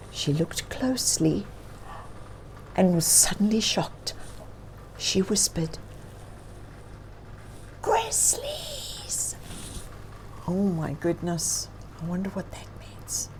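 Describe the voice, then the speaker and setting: A woman storyteller reads a picture book to children in a mellow, slightly low voice. She takes her time and adds expressive emphasis, with little asides to her young listeners. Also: a little hiss or hum, poor audio. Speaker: children's read-aloud storyteller.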